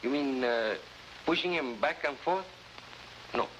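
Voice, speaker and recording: An actor playing a cheerful, romantic Latin American prisoner with a Spanish accent, polite and florid in an old crime drama. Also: male voice, medium pitch, measured pace, highs cut off, lightly acted. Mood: amiable, earnest. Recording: noisy, hissy old 1950s drama soundtrack.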